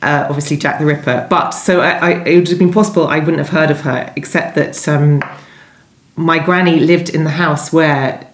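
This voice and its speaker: A woman's voice: low voice, fairly even intonation, normal speed. A woman giving conversational, discursive interview answers as a guest author, often rephrasing as she goes. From London, England. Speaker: guest novelist being interviewed. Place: indoors at home. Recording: echoey room.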